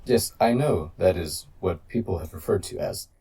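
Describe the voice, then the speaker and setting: A man voicing a loyal giant combat robot AI in an audio roleplay, formal, measured and protective of a human partner, with a gravelly voice. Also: low-pitched, animated, warm tone, distant mic, exaggerated voice. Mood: measured, mildly reproachful. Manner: formal, measured, literal-minded. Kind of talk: scripted audio roleplay addressed to the listener.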